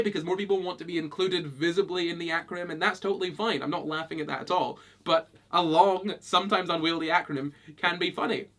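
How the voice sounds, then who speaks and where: A man's voice: a little high, fairly bright tone. A young man with a Scottish accent from Britain, reading hate comments aloud and responding in a dry, amused, casual way. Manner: dry, amused, laughs often. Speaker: YouTuber.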